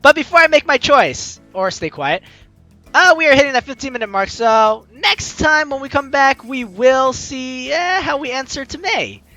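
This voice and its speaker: A man's voice: extremely high pitch, lively intonation, moderate pace, bright, forceful tone. A man hosting a gaming video, reading game narration aloud and chatting casually with playful asides. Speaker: gaming video host, let's player. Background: game music.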